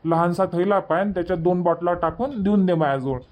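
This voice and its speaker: A man's voice: sits high, fast talker, slight background noise, close to an everyday voice. A man voicing an impatient, bossy village family man in a cartoon comedy, rambling in colloquial Vidarbha Marathi.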